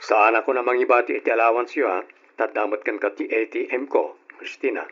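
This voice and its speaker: An actor with a Filipino Ilocano accent playing a bossy, defensive husband in an old-fashioned radio drama, scolding and insistent. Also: male voice, medium pitch, brisk, fairly bright tone, faint music behind, natural voice. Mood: scolding, impatient. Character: bossy, defensive husband and father, easily irritated. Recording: dull lo-fi radio drama recording.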